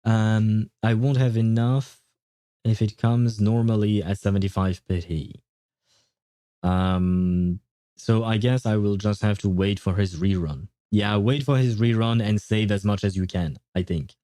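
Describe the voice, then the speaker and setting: Male streamer, low relaxed mellow voice, dry close sound, rambling casual chat with playful grumbling. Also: a little slow, dry recording. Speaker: livestream host, gaming streamer. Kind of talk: casual livestream chat with viewers.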